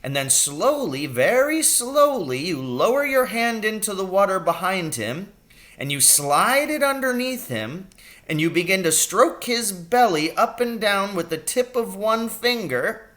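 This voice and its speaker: A man voicing an excitable, kindly little old country doctor in a storybook, animated and full of relish. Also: sits high, unhurried, voice pushed up, exaggerated voice. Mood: gleeful, nostalgic.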